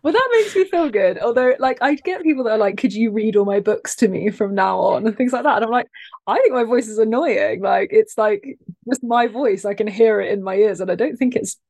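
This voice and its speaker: A woman guest on a podcast, talking casually in a soft, soothing voice, chatty and self-deprecating, laughing often. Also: fairly high pitch, lively intonation, fairly quick, vocal fry, no echo. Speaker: guest, content creator.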